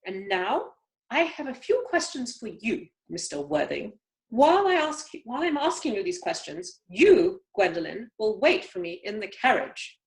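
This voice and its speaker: A woman's voice: medium pitch, sing-song, normal speed, low-quality recording. A woman playing an imperious, disapproving upper-class mother in an amateur play read-through, haughty and commanding, over a video call.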